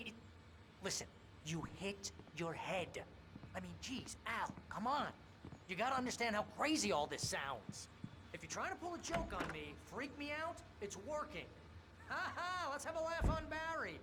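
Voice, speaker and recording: A man playing a fast-talking, nervy, exasperated best friend in a video game, wisecracking and pleading dramatically. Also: extremely high pitch, big pitch swings, noisy background. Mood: worried, pleading.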